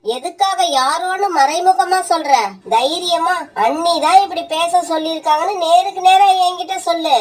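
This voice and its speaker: Woman voicing greedy wife bird, cartoon, bossy, scolding, very high voice. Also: normal speed. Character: greedy young wife bird, sharp-tongued.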